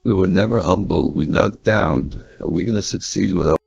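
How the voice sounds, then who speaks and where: A man voicing a gravelly, husky male figure through an AI voice changer, in slow demo phrases. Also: sits low, darkish tone, dry recording, voice pushed down, full character voice. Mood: flat musing. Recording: dull lo-fi converted audio.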